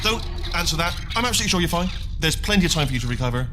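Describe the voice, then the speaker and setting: A man's voice: on the high side, expressive, racing, music in the background, lightly acted. A man with a British accent voicing an anxious, chatty, bumbling robot in a video game, rambling nervously and stammering as he reassures. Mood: nervous reassurance. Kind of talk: video game character acting.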